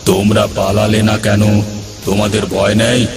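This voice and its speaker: A man voicing a calm, mysterious cloud spirit in a cartoon, solemn and reassuring, offering wishes. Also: lowish voice, measured pace, echoey room, far from the mic, over loud music. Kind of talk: cartoon character dialogue.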